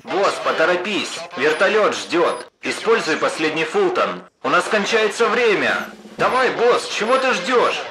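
A man's voice: sits high, sing-song, a little slow. A man playing a bossy, easily exasperated military commander briefing his soldier in a parody cartoon dub, urgent in tone. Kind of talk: dubbed parody cartoon acting. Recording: music behind.

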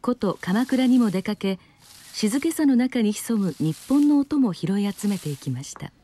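A woman's voice: mid-range voice, expressive, brisk, dark tone, slight background noise. A woman reading scripted narration for a television news report.

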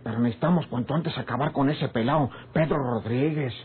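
An actor with a northern Mexican accent playing a sly, quarrelsome, rustic ranch hand in a radio drama, his voice husky. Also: medium pitch, fairly quick, slight background noise, natural voice. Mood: agitated. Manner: rustic, colloquial. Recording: muffled old radio serial recording.

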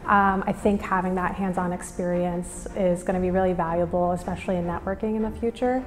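Young American woman, even-pitched, in reverberant room. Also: a little fast. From Minnesota, United States. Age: young adult. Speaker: business school student giving a testimonial. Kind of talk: interview testimonial. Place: indoors against a plain wall and banner. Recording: reverberant hall, music underneath. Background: background music.

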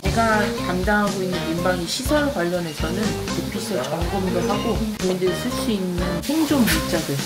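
A woman's voice: fairly low pitch, lively intonation, a little fast, hardly any pauses, rough recording. Woman in her forties, chatting over music. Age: 40s. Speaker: civil servant guest, former army officer. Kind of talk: casual chat. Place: small restaurant, later an outdoor patio. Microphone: clip-on lapel. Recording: loud music behind.

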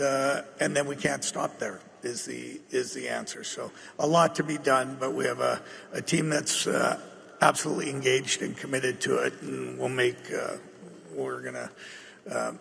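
A middle-aged man with a North American accent giving a measured, halting, unscripted business explanation as an executive at a meeting. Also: mid-range voice, vocal fry, fairly bright tone, slight background noise. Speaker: company executive answering at an annual shareholder meeting. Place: large meeting hall stage. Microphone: table microphone. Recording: echoey hall through PA.

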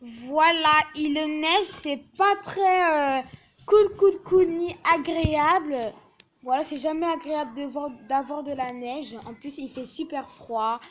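A woman's voice: high voice, a little slow, a bit bright, a little hiss or hum. A young girl filming a home video on a phone, chatting casually in a hesitant way with many fillers, in a small living room. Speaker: camera operator. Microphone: phone camera held by speaker.

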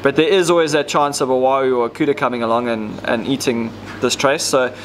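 A man's voice: medium pitch, steady tone, a little fast, a little hiss or hum, rough recording. A man, casually explaining step by step how to rig fishing gear, with plenty of ums. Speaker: fishing tackle demonstrator. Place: indoor tackle shop counter.

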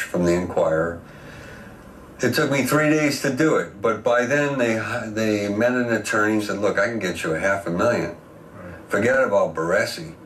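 A man's voice: low voice, a bit bright, recorded from a distance. A middle-aged man telling a story bluntly and casually in an interview recorded in a car. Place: inside a car at night. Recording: roomy, noisy, off-mic car interview.

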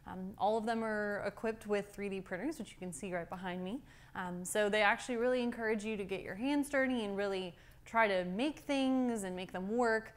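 A woman's voice: medium pitch, moderate pace. A young woman giving an informal, upbeat and explanatory guided campus tour as a student guide. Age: young adult. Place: indoor university labs and hallway. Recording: steady clear background room noise.

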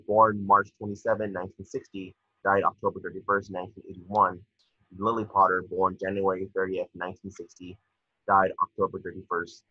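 A man narrating scripted stage directions at a table read, measured with small stumbles, over a video call. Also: deep voice, sharp tone, breathy edge, dry recording. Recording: dull lo-fi video call audio.

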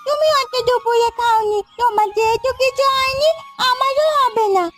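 একজন নারী কার্টুনে এক কৌতূহলী, জেদি ছোট্ট গ্রামের মেয়ের কণ্ঠ দিচ্ছেন, নাছোড়বান্দা আবদারের ভঙ্গিতে।. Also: female voice, very high-pitched, normal speed. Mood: insistent, sulky. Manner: pleading, insistent questioning. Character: inquisitive, stubborn little village girl. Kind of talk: Bengali cartoon fairy-tale acting. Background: background music.

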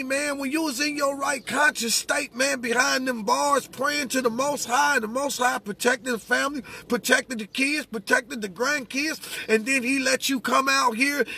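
A middle-aged American man with a high, gravelly voice and a husky edge. He preaches conversationally, testifying emphatically and repeating his points for weight. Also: bright, forceful tone, no echo. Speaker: religious speaker. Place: inside a parked vehicle.

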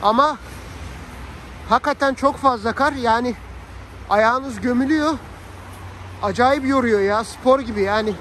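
A man's voice: extremely high pitch, a little slow, pauses often. A man chatting casually and slangily to viewers and family as he vlogs outdoors on a phone. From Turkey. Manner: colloquial, slangy, animated asides to family and viewers. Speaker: vlogger. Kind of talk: casual chatty vlog narration. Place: outdoors on a snowy city street. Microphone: handheld phone. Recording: noisy outdoor street with traffic.

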